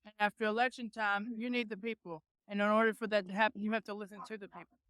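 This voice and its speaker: A rally attendee interviewed outdoors, speaking emphatically with halting fillers. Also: medium pitch, flat delivery, a bit bright, clean tone, no room sound. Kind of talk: street interview.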